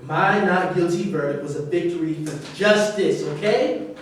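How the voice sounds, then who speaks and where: A man's voice: high voice, normal speed, lightly acted. A man in a staged reading playing a touchy, defensive acquitted man who argues and protests. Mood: indignant. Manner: argumentative, protesting. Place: echoey room at a staged reading. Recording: distant mic, very echoey room, hiss.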